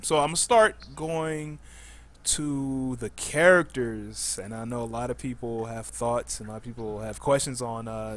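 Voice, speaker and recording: A man hosting a gaming podcast, casually putting questions to his guests. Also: mid-range voice. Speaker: podcast host.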